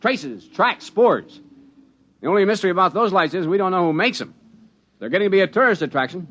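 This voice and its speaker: An actor in a 1950s radio drama playing a lanky, eager mountain climber who talks in an excitable, bantering way. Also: male voice, high voice, brisk, muffled recording.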